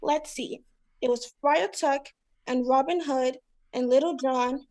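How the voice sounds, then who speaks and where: A woman playing an eager, excitable cartoon friend in a radio play, speaking emphatically. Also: high-pitched, a little slow. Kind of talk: 1940s radio play acting.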